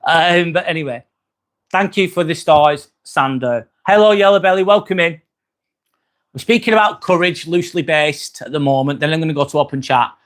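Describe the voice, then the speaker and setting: A man's voice: fairly high pitch, normal speed, a bit bright. A man with an accent from near Manchester, hosting a casual livestream and reading out viewer comments in a chatty, friendly way. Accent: northern English, near Manchester. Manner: chatty, friendly, frequent "um" fillers. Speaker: livestream host.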